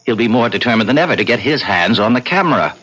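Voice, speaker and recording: A worldly, insinuating male spirit from a seventies radio play. His husky, mid-pitched voice glides widely up and down. He reasons and coaxes with patient, sly persuasion. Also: expressive, quick pace, a bit bright, dull audio. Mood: sly, coaxing. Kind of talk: 1970s radio drama acting. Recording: noisy old 1970s radio recording.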